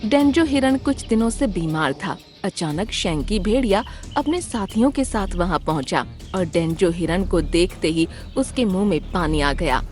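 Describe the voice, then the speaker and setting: Female storyteller for children's cartoon, very animated intonation, music bed. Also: mid-range voice. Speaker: narrator. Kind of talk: scripted children's story narration.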